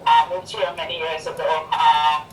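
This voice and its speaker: A woman's voice: low voice, level tone, moderate pace. A woman from Trinidad with a Trinidadian accent, talking casually as an interview guest on a remote call from outdoors. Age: 30s or older. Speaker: interview guest, Muay Thai fighter and coach. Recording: roomy, distant phone call with noise.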